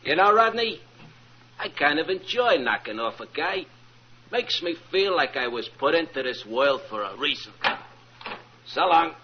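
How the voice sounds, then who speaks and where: A man's voice: on the high side, big pitch swings, a little slow, slight background noise. A man acting a cocky, thuggish hired killer with slangy tough-guy talk in an old-time radio crime drama. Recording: muffled old radio recording.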